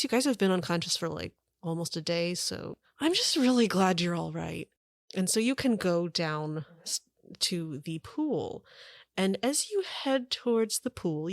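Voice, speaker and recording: A woman's voice: on the low side, animated, a little slow, no room sound, studio quality. A woman narrating a tabletop role-playing game as game master, in a casual conversational style. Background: music under the voice.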